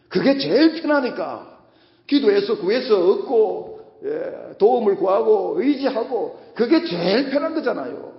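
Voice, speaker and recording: A male pastor's husky voice rings out quite high, rising and falling in big sweeps as he preaches. He leans on repeated phrases and pointed questions to the congregation. The sound carries a long church-hall reverb. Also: lo-fi sound. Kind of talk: Sunday sermon.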